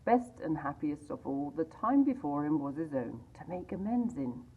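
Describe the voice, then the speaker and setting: A woman reading a classic story aloud in a measured, expressive way. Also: low-pitched, husky. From England. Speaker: library reader. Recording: tinny phone-like sound.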